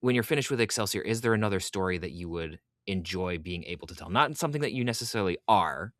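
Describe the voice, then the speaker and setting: A man's voice: low voice, expressive, fast talker, a bit bright, dry recording, clean studio sound. A man hosting a podcast interview in casual conversation, with an informal, fannish enthusiasm. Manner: informal, enthusiastic fan talk.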